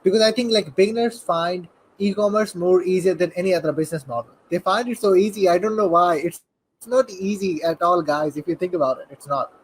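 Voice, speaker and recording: A man's voice: sits high, brisk, a little hiss or hum, poor audio. A 21-year-old man from Saudi Arabia, a guest telling his story in a casual interview. He talks eagerly and rambles, peppering his speech with "like" and "you know". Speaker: guest entrepreneur.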